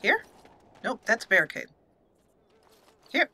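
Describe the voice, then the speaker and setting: A woman's voice: a little high, very animated, measured pace, sharp tone, husky. A woman hosting a gaming channel, chatting casually and thinking aloud as she plays. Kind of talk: casual let's play commentary. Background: game music.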